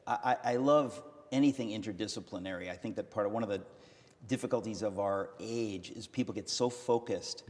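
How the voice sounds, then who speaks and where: A man's voice: lowish voice, fairly quick, a little mellow, long reverb tail. A man hosting an interview, asking questions with frequent restarts and a playful, teasing humor. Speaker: interviewer, host. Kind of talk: conversational interview.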